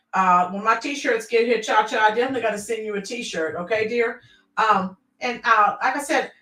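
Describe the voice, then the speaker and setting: Middle-aged woman chatting on a livestream, kinda high voice, lots of ums, sounds far off in a roomy space. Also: bright, forceful tone. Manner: rambling, with many fillers, addresses viewers by name. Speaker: livestream host reading viewer comments. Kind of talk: casual livestream chat.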